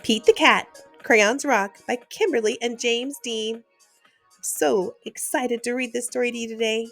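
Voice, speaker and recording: A woman gently reading a picture book aloud at bedtime as the host of a read-aloud channel, talking to small children in an expressive way. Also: fairly high pitch, measured pace, soft background music.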